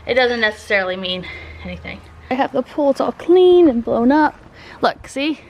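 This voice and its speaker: Higher-pitched woman chatting in a noisy vlog. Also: big pitch swings, normal speed, darkish tone. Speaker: family vlogger and mother. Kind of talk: casual vlog chat.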